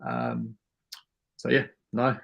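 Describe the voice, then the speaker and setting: A man from South Africa whose first language is Afrikaans, talking as a podcast guest in a relaxed, friendly way, with lots of ums and uhs. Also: on the low side, slow, vocal fry, lo-fi sound. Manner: relaxed and friendly, many fillers. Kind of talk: casual interview chat.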